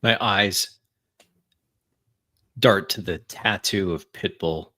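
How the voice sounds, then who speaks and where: A man narrating dramatic first-person tabletop roleplay in deliberate, broken phrases with long pauses. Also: low-pitched, bright, forceful tone.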